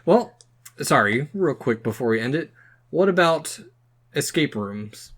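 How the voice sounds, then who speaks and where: A man co-hosting a podcast, talking casually about films and games and thinking aloud in a halting way. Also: medium pitch, lively intonation, a bit bright. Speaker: podcast co-host.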